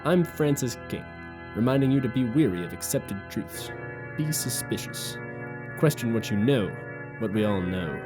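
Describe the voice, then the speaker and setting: An actor playing the solemn host of a conspiracy-mystery show, giving scripted narration in a portentous, deliberate manner. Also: male voice, medium pitch, animated, lightly acted. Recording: clear guitar music underneath.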